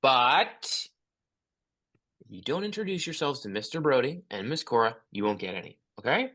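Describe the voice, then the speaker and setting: Table read role: an actor as an easygoing male teacher, cheerful classroom manner, very animated delivery. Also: medium pitch, moderate pace. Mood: firm, playful. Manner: upbeat, coaxing classroom talk. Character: cheerful, easygoing male schoolteacher named Mister Doolin.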